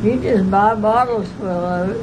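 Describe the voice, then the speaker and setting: An elderly Texan woman in her nineties tells old family stories in a slow, plainspoken way and laughs easily. Her delivery is unhurried. Outdoor noise hums steadily behind her. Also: mid-range voice. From Texas. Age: 90s. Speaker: interviewee. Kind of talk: casual reminiscing. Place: outdoor covered patio.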